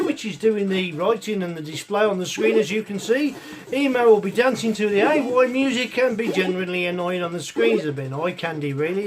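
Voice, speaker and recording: A man hosting a retro computer show from his hobby room, talking in a chatty, meandering way with lots of ums, his voice croaky. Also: high-pitched. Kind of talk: casual rambling review chat. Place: home hobby room with computers and a soldering bench. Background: chiptune music playing from a computer.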